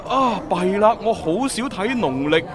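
An actor playing a young, brisk, dutiful time-travel agent in a radio drama. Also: male voice, high-pitched, lively intonation, crowd noise. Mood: eager, flattering. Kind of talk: Cantonese radio drama acting. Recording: music playing behind the voice.

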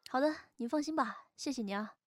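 High-voiced, protective young woman, acted. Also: a little fast, no echo, clean studio sound. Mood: reassuring. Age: young adult. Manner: earnest, reassuring. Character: protective, steadfast young woman, loyal best friend. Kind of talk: Chinese audio drama acting.

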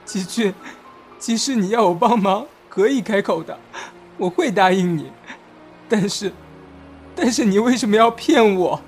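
A young man acting a shy, insecure young man in love in a romantic audio drama, softly and earnestly. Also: high-pitched, lively intonation, a little slow, long pauses. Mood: hurt, resigned. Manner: soft, hesitant, earnest. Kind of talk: Chinese audio drama acting with inner narration. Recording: music behind.

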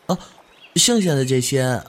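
A man's voice: medium pitch, expressive, a little slow, natural voice. An actor playing a cheerful, good-hearted young man who chats eagerly and laughs easily in an audio drama. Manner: bright, chatty, laughs easily.

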